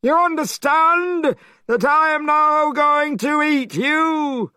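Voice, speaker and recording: A man's voice: very high voice, slow pace, bright, forceful tone, no room sound, clean studio sound, pitched above the performer's own voice, heavily acted. A man voicing a horrible, menacing monster king who eats children, in a storybook reading. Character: horrible male monster king, fire-eyed, child-eating.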